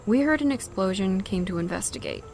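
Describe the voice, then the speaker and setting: A woman's voice: medium pitch, lively intonation, a little fast, a little mellow, slight background noise, natural voice. A woman playing a guarded, world-weary survivor in an audio drama, wary and understated. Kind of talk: audio drama acting, anime fan parody.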